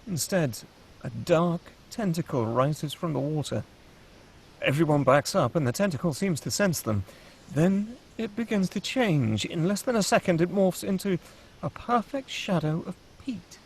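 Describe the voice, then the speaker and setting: Male narrator for screenplay table read. Slightly high pitch, husky tone, scripted action delivery. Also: expressive, a little slow.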